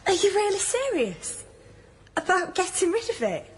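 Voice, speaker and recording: An actress playing a down-to-earth hospital nurse in a radio drama, with a Northern English accent and a blunt, teasing, colloquial way of talking. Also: female voice, very high-pitched, huge pitch swings, moderate pace, slight background noise, exaggerated voice. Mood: concerned, disapproving. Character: down-to-earth hospital nurse, teasing, plain-spoken old friend. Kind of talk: naturalistic radio drama dialogue.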